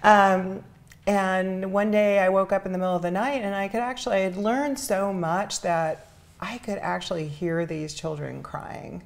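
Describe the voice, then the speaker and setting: A middle-aged woman with an American accent, telling her personal story earnestly and reflectively as an interview guest, pausing between phrases. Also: medium pitch, steady tone, vocal fry. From New York City, United States. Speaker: interview guest, charity founder.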